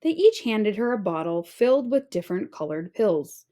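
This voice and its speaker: A woman reading a children's picture book aloud as a teacher would, with dramatic emphasis and drawn-out key words. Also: mid-range voice, sing-song, dark tone. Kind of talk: expressive scripted storybook narration.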